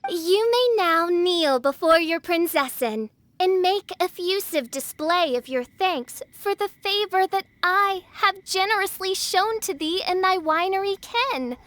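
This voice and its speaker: A woman's voice: extremely high pitch, lively intonation, unhurried, hardly any pauses, a bit bright, dry recording, heavily acted. A woman voicing a haughty, theatrical teenage princess in a video game, speaking in grand, archaic, flowery phrases. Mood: grandiose. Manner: pompous, archaic flowery speech. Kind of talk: anime-style video game voice acting.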